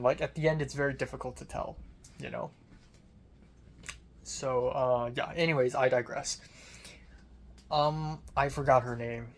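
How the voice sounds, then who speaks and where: A man's voice: mid-range voice, a little hiss or hum. Young guy rambling thru a personal vlog diary, chatty and informal, bit of swearing. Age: young adult. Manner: informal, mildly profane, conversational. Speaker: film student vlogger.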